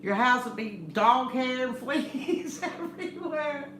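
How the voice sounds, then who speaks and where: A middle-aged woman with a loud voice, chatting casually and teasing, laughing often. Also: high-pitched, animated, slow, echoey room, background noise. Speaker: channel co-host. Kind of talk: casual chat.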